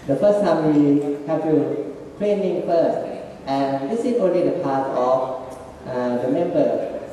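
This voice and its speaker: A man giving a slide presentation in halting, non-native English with broken grammar. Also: on the high side, lively intonation, warm tone, slight background noise. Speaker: student presenter. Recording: echoey hall, far from mic.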